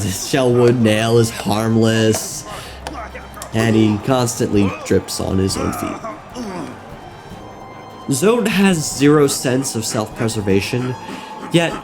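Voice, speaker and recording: A young man narrating a scripted comedic video essay on game lore in a playful, mock-serious manner. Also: mid-range voice. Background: background music.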